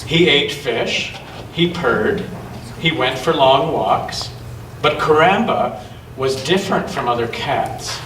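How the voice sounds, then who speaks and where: A man reading a picture book aloud to children and chatting gently with them in a church. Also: mid-range voice, lively intonation, takes it slowly. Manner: gentle question-and-answer talk with children. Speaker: storytime reader at a church children's gathering. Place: large echoey church room with children. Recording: echoey noisy room, distant mic.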